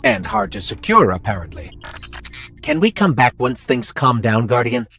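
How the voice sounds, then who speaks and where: A man's voice: mid-range voice, sing-song, moderate pace, vocal fry, fairly bright tone, husky, no echo, faint music behind, exaggerated voice. An actor playing an earnest, curious male robot companion in a video game, thoughtful and polite.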